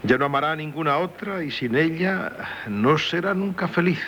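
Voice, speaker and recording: Radio theatre prince: polite confidant, lively melody, slightly noisy vintage recording. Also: male voice, on the high side, normal speed, muffled recording. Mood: earnest. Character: courteous, worldly aristocratic prince, trusted family confidant. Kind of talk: 1970s Spanish radio drama acting.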